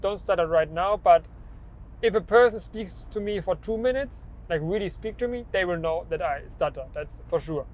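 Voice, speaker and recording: A man's voice: high voice, normal speed, a little hiss or hum, low-quality recording. A young man who stutters, with repeated words and restarts, talking about his own experience in a personal vlog. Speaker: vlogger.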